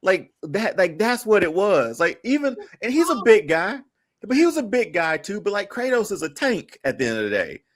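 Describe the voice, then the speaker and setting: A man's voice: high-pitched, big pitch swings, moderate pace, dry recording, studio quality. A man talking casually as a podcast panelist, thinking aloud in a conversational way. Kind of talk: casual pop-culture discussion.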